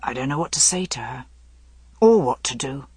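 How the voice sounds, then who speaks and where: An anguished young king of a fantasy audiobook, acted by a woman, speaking low and haltingly. He is tender and earnest, with a husky edge and a slow, weary delivery. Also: lively intonation, no echo, heavily acted. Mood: anguished, hesitant. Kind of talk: dramatic audiobook storytelling.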